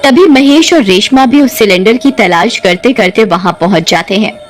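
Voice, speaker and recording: A woman's voice: mid-range voice, expressive, normal speed, a little hiss or hum. A woman narrating a scripted cartoon ghost story in a dramatic storytelling style.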